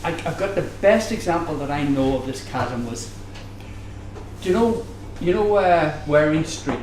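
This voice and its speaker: A man's voice: a little high, expressive, moderate pace, a little mellow. An older man with a Northern Irish accent talking conversationally on a panel, explaining through anecdotes and digressions. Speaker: panelist, academic. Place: bar venue, live audience discussion. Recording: echoey room, background noise, live event.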